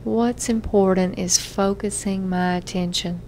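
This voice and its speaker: A woman therapist leading a guided self-hypnosis relaxation session, giving gentle, measured instructions with frequent pauses. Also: medium pitch, fairly even intonation, a little hiss or hum.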